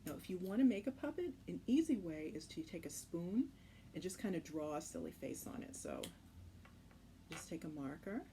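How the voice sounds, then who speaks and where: Craft teacher lady, kinda low voice, casual n reassuring tutorial, noisy home recording. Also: expressive, normal speed, dark tone. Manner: informal, reassuring. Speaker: children's craft instructor.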